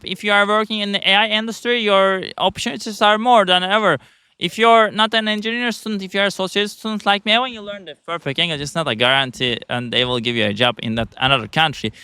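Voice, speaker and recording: A man's voice: high-pitched, pushed and bright, clear, resonant tone, no echo. Man with a Turkish accent, rambling. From Turkey. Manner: rambling, many fillers and restarts. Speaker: vlogger. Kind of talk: casual talk to camera.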